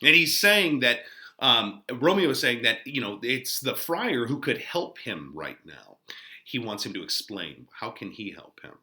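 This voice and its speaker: Animated male literature teacher, bright voice. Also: medium pitch, a little slow. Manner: animated, explains by colloquial paraphrase. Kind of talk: informal lesson commentary on a play.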